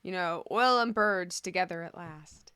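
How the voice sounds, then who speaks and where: A woman's voice: on the low side, measured pace, pushed and bright, clean tone, no echo, clean studio sound. A woman hosting a casual video commentary on stocks, talking conversationally and drifting into asides. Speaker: host of a stock commentary channel.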